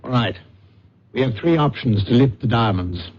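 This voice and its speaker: An actor playing a suave, pompous swindler who poses as a psychic detective, with precise theatrical delivery in a radio drama. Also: male voice, mid-range voice, animated, moderate pace, close to an everyday voice. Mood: brisk, scheming. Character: suave, pompous male con-man posing as psychic detective. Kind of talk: old-time radio drama acting. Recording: muffled, hissy old radio recording.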